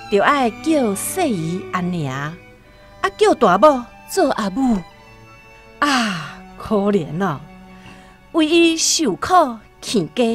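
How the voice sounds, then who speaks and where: A Taiwanese woman narrating a literary radio drama in a storytelling style, over soft music. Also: on the high side.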